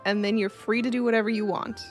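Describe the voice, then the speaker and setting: A woman's voice: a little high, little pitch movement, quick pace, soft background music, natural voice. A woman playing a friendly, eager adventurer in a fantasy radio drama. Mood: friendly, insistent.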